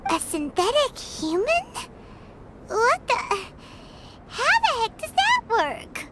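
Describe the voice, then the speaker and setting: A woman voicing a tiny, childlike fairy companion in a video game, squeaky, cutesy, blunt and exclamatory. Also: wildly swooping pitch, slow, heavy background noise, heavily acted. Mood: baffled, curious.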